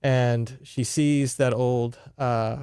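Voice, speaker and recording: A man's voice: mid-range voice, fairly even intonation, very slow, mellow, smooth, no echo, studio quality. A man hosting a podcast, casually recapping a story with sarcastic, exasperated, sweary asides. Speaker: podcast host. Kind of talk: casual recap of a horror novel.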